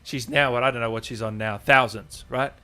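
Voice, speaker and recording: A man's voice: medium pitch, fairly even intonation, brisk, pushed and bright, clear, resonant tone. He is a male livestream host with a mid-range voice. He chats casually to his audience as if to friends, in an informal, chummy style. The delivery is unscripted and conversational. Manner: chummy, informal, addressing viewers as guys and brother. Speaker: host of a gaming channel livestream. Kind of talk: casual livestream chat to viewers.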